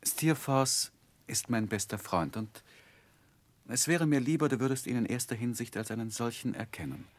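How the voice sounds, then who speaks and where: An actor playing an earnest man looking back on his youth in a measured, reflective 1960s radio drama manner. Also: medium pitch, lightly acted. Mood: earnest, defensive. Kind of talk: 1960s German radio drama narration and acting.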